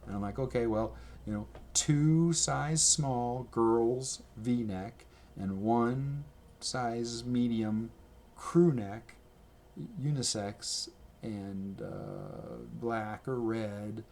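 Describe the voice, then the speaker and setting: A man's voice: mid-range voice, lively intonation, very slow, a little mellow, slight background noise. A man talking casually to his camera at home, rambling and correcting himself as he shows things. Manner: rambling, self-correcting, chatty. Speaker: video creator. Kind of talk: casual rambling vlog talk. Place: indoors at home. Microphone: camera mic.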